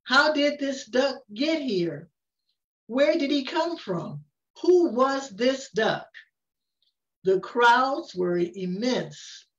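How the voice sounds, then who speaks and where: An older woman reading a picture book aloud in an expressive storytelling manner, with dramatic emphasis. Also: sits high, very slow, lo-fi sound. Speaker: story reader. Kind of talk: picture-book read-aloud with casual asides.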